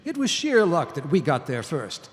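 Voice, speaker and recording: A man playing a grave, forceful army colonel in a live audio drama, arguing in deliberate military speech. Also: a little high, wildly swooping pitch. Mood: grave, urgent. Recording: live stage recording in echoey hall.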